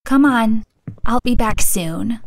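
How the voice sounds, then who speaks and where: A woman acting a calm, practical, caring elf in an audio drama. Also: fairly high pitch, slow, vocal fry, no room sound, studio quality, full character voice. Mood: brisk.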